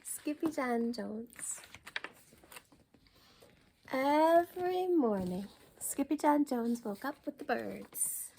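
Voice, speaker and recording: A woman reading a children's storybook for a library story time, with expressive, deliberate and dramatic phrasing. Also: high-pitched, creaky, a little hiss or hum.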